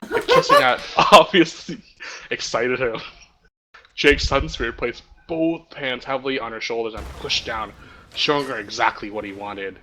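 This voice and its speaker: A man's voice: mid-range voice, big pitch swings, measured pace, bright, forceful tone. A man reading roleplay text aloud to friends over voice chat, stumbling now and then and holding back laughter. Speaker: story reader. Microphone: online voice chat microphone. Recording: faint background noise on voice chat.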